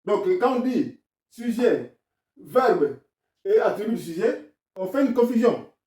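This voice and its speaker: A man's voice: high voice, sing-song, unhurried, warm tone, reverberant, recorded from a distance. A man with a non-native French accent explains grammar step by step in a video lesson. He talks in an insistent, argumentative way. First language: not French. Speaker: self-taught grammar commentator.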